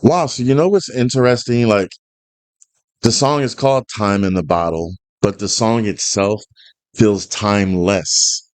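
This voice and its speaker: A man in his fifties with a low voice talks in an earnest, rambling way, full of ums and restarts. The sound is dry and close. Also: unhurried, no echo. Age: 50s. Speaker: reaction channel host. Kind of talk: casual reaction chat and storytelling.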